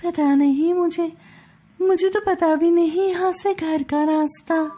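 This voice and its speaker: Casting: woman as young daughter-in-law, high, earnest, pleading, unhurried, for comic storybook family drama. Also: fairly even intonation, warm tone, faint music behind. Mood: anxious.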